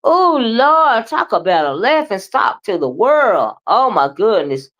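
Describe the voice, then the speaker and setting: A woman's voice: mid-range voice, sing-song, measured pace, fairly bright tone. An American woman hosting a livestream, chatting casually and emphatically and often checking in with listeners with short questioning tags. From United States. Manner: emphatic and conversational, often ends phrases with a questioning tag. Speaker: livestream host. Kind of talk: casual livestream commentary, responding to chat.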